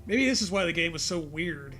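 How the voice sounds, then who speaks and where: High, bright-voiced man riffing through a read-aloud. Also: lively intonation, fairly quick, soft background music. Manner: jokey, sweary, breaks off to riff. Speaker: co-host reading a horror story aloud for comedy.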